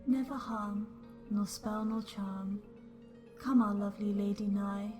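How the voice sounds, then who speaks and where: Woman as slow, lulling forest fairy. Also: medium pitch, little pitch movement, a little mellow, faint music behind, lightly acted. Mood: soothing, warding. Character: protective forest fairy attendant soothing her queen. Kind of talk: Shakespearean lullaby verse.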